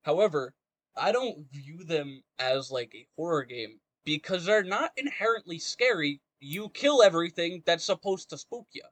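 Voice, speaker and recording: A man's voice: a little high, lively intonation, normal speed, fairly bright tone, dry recording. A man in a casual, argumentative chat about games, speaking emphatically and swearing freely. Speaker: podcast co-host.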